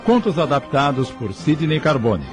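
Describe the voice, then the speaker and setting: A man's voice: a little high, big pitch swings, normal speed. A man formally announcing a radio programme. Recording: loud music over the voice.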